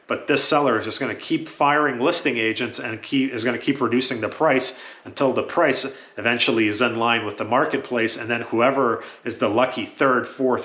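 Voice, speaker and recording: A man's voice: mid-range voice, sharp tone, echoey room. A man whose first language is Croatian, talking casually and matter-of-factly to camera as a real estate agent, with frequent "uh" fillers.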